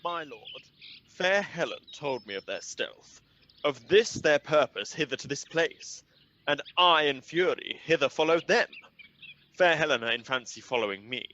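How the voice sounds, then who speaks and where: An actor playing an earnest, courtly young lover in a Shakespearean radio play. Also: male voice, a little high, expressive, moderate pace, bright, forceful tone. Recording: dull sound, faint birdsong noise.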